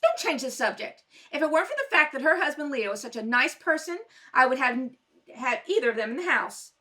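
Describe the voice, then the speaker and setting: A woman's voice: sits high, very animated, fairly quick, pushed and bright, exaggerated voice. A woman playing a nagging, aggrieved, sleepless wife in an old-time radio comedy, complaining dramatically. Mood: accusing.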